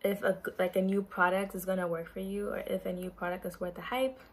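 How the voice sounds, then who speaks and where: A woman's voice: medium pitch, flat delivery, quick pace, breathy edge. A young woman from Ghana chats to the camera in her bedroom. She talks in an earnest, chatty way and restarts her sentences loosely as she goes. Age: young adult. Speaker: vlogger. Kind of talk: casual introductory vlog. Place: bedroom, indoors. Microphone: camera in front at close range.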